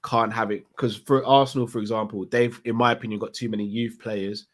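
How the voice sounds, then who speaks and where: A man's voice: on the low side, steady tone, a little fast. A man hosting a live call-in chat, reading out viewer messages and laughing often. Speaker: fan channel host.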